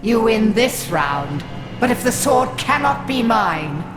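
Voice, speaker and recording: A woman's voice: a little high, big pitch swings, slow pace. A sneering villainous witch. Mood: scornful. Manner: sneering, commanding, shouting threats. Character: cruel scheming witch, servant of a demon. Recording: echoey with loud game music.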